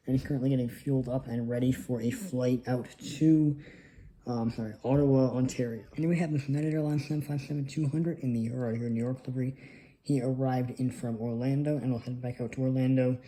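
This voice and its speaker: A man's voice: medium pitch, mellow, poor audio. A young man, a little congested from allergies, narrating his model airport in a rambling, casual way, recorded on a phone. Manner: rambling, lots of ums. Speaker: model airport hobbyist host. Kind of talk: casual hobby video narration. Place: indoors at a model airport setup. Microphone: phone on a tripod.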